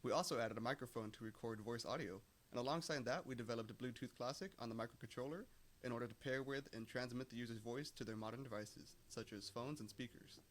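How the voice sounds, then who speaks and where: Young male engineering student, mid-range voice, rapid scripted technical presenting. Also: medium pitch, slight background noise. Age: young adult.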